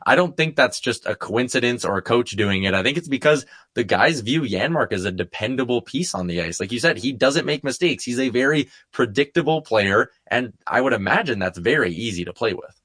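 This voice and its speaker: A man's voice: medium pitch, lively intonation, a little fast, few pauses, fairly bright tone, no echo, clean studio sound. A man chatting casually about sports as a regular on a talk show.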